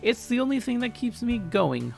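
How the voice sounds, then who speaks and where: A man voicing a doting, energetic grandmother of about eighty in a story, playfully crafty and coaxing. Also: very high-pitched, normal speed, vocal fry, faint music behind, voice pushed up, exaggerated voice. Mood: teasing. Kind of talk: character voice in a story reading.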